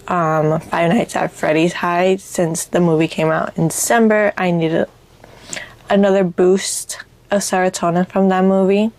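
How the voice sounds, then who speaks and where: A woman speaks slowly in a somewhat low, raspy voice that sounds a bit stuffed up with allergies. She chats informally like a book vlogger, rambling and pausing as she finds her words. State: congested from allergies. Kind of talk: casual book chat.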